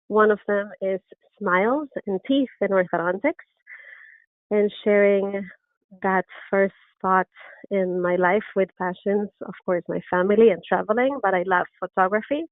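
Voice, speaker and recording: A woman's voice: medium pitch, moderate pace, no echo. A middle-aged woman from Argentina with an Argentine Spanish accent, a native Spanish speaker, presenting a webinar as an orthodontist in an earnest, enthusiastic way with frequent ums and restarts. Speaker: orthodontist lecturer. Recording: dry, tinny phone-like webinar audio.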